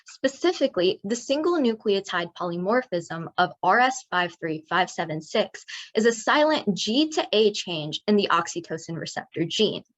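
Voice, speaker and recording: A young woman from New Jersey reading a technical part of a student research proposal in a measured, precise way. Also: medium pitch, sing-song. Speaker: student presenter. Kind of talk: read research presentation. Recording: dull lo-fi mic.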